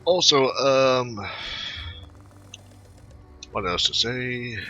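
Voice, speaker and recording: A man giving casual, rambling gameplay commentary as a video host, speaking in halting phrases. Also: medium pitch, sharp tone, soft background music. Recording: muffled home mic with faint noise.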